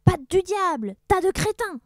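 A woman voicing a cruel male pirate captain in a children's story, shouting insults at his crew. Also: lowish voice, lively intonation, normal speed, no echo, studio quality, close to an everyday voice. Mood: bellowing.

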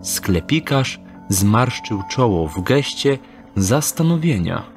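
A man narrating a radio drama in a calm, measured storytelling manner. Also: medium pitch. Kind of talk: scripted audio drama narration. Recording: narration over music score.

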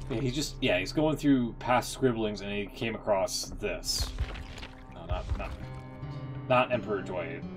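A middle-aged man giving casual game livestream commentary, musing and halting, with some swearing. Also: fairly low pitch. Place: indoor streaming setup. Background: music.

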